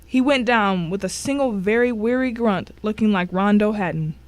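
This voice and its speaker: A woman's voice: medium pitch, normal speed, dry recording, lightly acted. A woman playing the grim first-person narrator of a crime thriller in a scripted dramatic reading. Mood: grim, tense.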